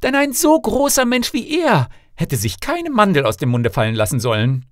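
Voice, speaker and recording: A picky young fairy-tale princess, played by a man. The voice is pitched high and sweeps about with affected disdain. It is recorded dry and close. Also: huge pitch swings, normal speed, fairly bright tone, dry recording, heavily acted. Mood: disdainful.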